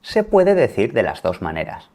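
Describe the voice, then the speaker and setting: Male teacher, low and lively voice; clear, simple storytelling for learners. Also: a little fast. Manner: clear explanatory delivery, rephrasing words. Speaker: language teacher in a video.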